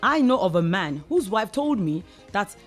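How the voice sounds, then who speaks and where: A woman's voice: mid-range voice, sing-song, normal speed. Nigerian woman earnestly explaining, music behind. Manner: emphatic, repeats phrases for stress. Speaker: discussion guest, community advocate.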